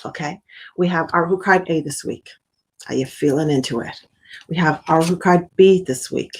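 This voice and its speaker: A woman's voice: low voice, moderate pace, husky. A middle-aged woman, a spiritual medium, chatting casually on a livestream in an upbeat way and greeting viewers by name. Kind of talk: casual live-stream chat.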